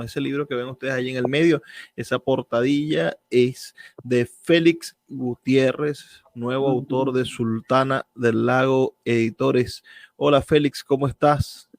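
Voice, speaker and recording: A man with a Venezuelan accent speaks as a radio host presenting and interviewing. His voice sits at a medium pitch and is recorded dry, with no room sound. He is effusive and wordy, often repeating words for emphasis. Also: no room sound.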